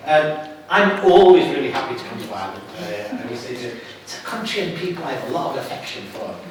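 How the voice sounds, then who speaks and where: A man's voice: medium pitch, sing-song. A middle-aged man with a Lancashire accent giving an earnest public talk to an audience, restarting his sentences often. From England, Lancashire. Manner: earnest, halting with frequent restarts. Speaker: guest speaker. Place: hall at an evening event. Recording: echoey hall from far off, faint hiss.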